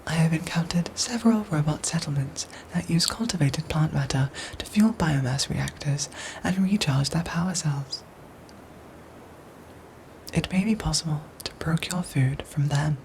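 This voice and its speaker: Actress as caring robot soldier: hushed, breathy whisper, formal measured diction, comforting ASMR audio drama, with noticeable ambient noise behind. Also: female voice, low voice, low-quality recording. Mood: calm, explanatory. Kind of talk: whispered ASMR roleplay acting.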